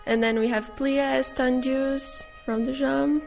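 Slow, gravelly-voiced young woman. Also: high voice, level tone, a little mellow, soft background music. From Brazil. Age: young adult. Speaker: ballet dancer.

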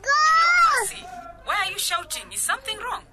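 A middle-aged actress playing a caring mother who calms her daughter with gentle reassurance. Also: very high voice, fairly quick, over loud music. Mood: soothing. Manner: soothing and reassuring. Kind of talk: radio drama acting.

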